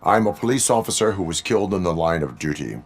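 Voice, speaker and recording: An extremely low-voiced cyborg policeman, resurrected in a metal body. He speaks in formal, clipped, machine-like sentences with stoic restraint, as a video game character. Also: animated, quick pace. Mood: flat, stoic.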